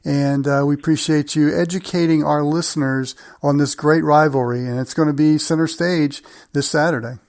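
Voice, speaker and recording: A middle-aged man hosting a sports podcast in a deliberate, announcer-like way. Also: on the high side, fairly even intonation, a little mellow, no room sound. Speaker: podcast host. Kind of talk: hosted sports interview and read segues.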